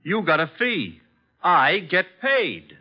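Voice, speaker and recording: Lively wisecracking American detective's sidekick. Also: male voice, sits high, slow pace, heavily acted. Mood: glum, wry. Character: wisecracking, girl-chasing detective's legman, wry and grumbling. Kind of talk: 1950s American radio drama acting. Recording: dull old radio recording.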